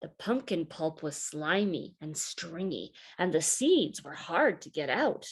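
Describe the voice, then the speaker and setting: A woman reading a children's picture book aloud in an expressive, deliberate storytelling manner. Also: sits low, breathy edge. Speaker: storybook reader.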